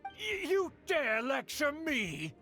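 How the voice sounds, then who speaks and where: A man's voice: very high voice, huge pitch swings, slow, bright, forceful tone, heavily acted. A man playing a haughty, scheming middle-aged noble uncle in a video game, with formal, theatrical and domineering delivery. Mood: outraged. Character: pompous, scheming aristocratic uncle, outraged and domineering. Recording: game music behind the voice.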